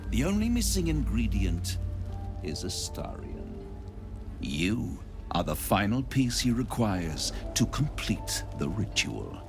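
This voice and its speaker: An actor playing a suave, menacing devil in a video game, speaking in a theatrical, deliberate and grandiloquent way. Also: male voice, fairly low pitch, huge pitch swings, vocal fry, music in the background, exaggerated voice. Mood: gloating, ominous. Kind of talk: dramatic video game acting.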